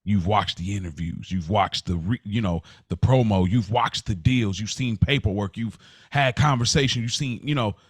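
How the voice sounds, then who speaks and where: A man's voice: fairly low pitch, moderate pace, slightly rough, dry recording. Guy on a podcast, casual talk, repeats himself making points, really dry studio sound. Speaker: podcast co-host. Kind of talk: casual chat.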